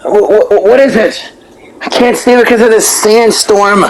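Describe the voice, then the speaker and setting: A man's voice: sits high, a little slow, rough recording. A man giving casual, exclamatory gameplay commentary and reading dialogue aloud as he plays. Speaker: gamer playing and reacting.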